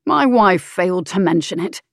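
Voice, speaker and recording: A woman's voice: on the low side, expressive, normal speed, gravelly, no echo, studio quality. A woman voicing an arrogant, selfish nobleman in an audiobook, sneering and commanding. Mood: grumbling.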